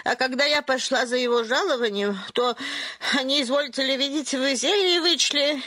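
A woman's voice: high-pitched, sharp tone, no room sound. An actress playing a pushy, plaintive older petitioner woman in a theatrical radio comedy, wheedling and obsequious as she nags.

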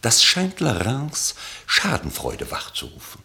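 A man narrating a literary radio play from a script in an expressive, wryly elevated manner. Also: mid-range voice, takes it slowly, gravelly.

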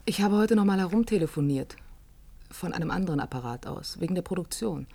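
An actress playing a skeptical, inquisitive office employee in a science-fiction radio play, questioning and matter-of-fact. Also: female voice, lowish voice, animated, fast talker, gravelly, warm tone. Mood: pensive, dissatisfied.

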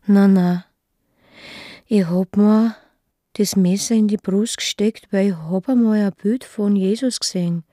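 An actress plays a confused, pious Austrian mother confessing in a radio play. Her voice is dark and muted, recorded very dry. She speaks haltingly in simple, childlike phrases that circle back on themselves. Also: mid-range voice, steady tone, no echo, lightly acted. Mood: confused, pleading. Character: devout, mentally confused mother confessing to killing her daughter. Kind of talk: naturalistic radio drama monologue.

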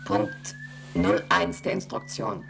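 Super low gravelly station computer, flat and robotic, reads out rules, faint hum behind. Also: female voice, takes it slowly, loud music behind the voice, heavily acted. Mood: flat, mechanical. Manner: flat machine-like recitation of rules and figures. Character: cold female station computer, monotone and rule-bound. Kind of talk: radio play acting.

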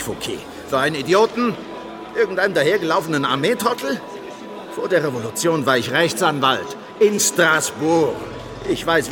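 An actor playing a massive, quarrelsome army general in a German radio drama, scornful and indignant. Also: male voice, fairly high pitch, lightly acted. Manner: blustering, scornful. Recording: music behind the voice.

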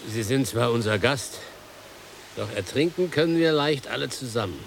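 A man's voice: mid-range voice, animated, moderate pace, creaky, a little hiss or hum. An actor playing a dutiful, plainspoken coachman in a radio play, polite and explanatory. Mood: urgent, polite. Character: dutiful coachman, long-serving island servant, plainspoken. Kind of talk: 1990s German radio play acting.

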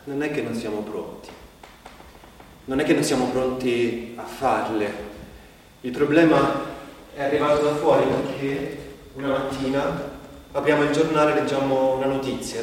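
A man acting as a reflective narrator in a bleak stage play, speaking conversationally in halting, broken phrases with pauses. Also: mid-range voice, a little hiss or hum, close to an everyday voice. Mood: grave. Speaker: stage actor. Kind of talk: theatrical monologue, conversational storytelling. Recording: echoey hall, distant mic, music behind.

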